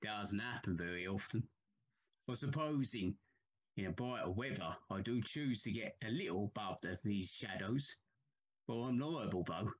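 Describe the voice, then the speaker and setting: A solitary Victorian railway signalman in an audio play. His voice is fairly low and subdued, and he speaks tersely, guarded and haunted. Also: animated, moderate pace, husky, dull audio. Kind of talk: audio drama acting.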